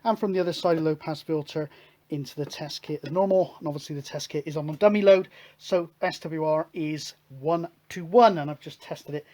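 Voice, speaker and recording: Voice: mid-range voice, a little fast, a little mellow. A hobbyist presenter with a mid-range voice talks through a technical demonstration. The delivery is casual and chatty, like someone working things out aloud at the bench. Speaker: hobbyist radio show host. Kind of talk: informal hands-on technical demonstration.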